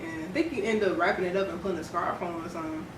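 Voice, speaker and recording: A woman's voice: lowish voice, rapid-fire. Young American woman chatting in a noisy, echoey room. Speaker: guest storyteller. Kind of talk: casual storytelling chat. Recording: roomy, noisy, distant mic.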